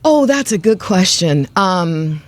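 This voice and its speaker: A woman's voice: on the low side, big pitch swings, takes it slowly, no room sound. Thoughtful woman in a dry, close studio. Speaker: interview guest, stage and screen actress. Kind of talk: conversational radio interview answers. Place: radio studio.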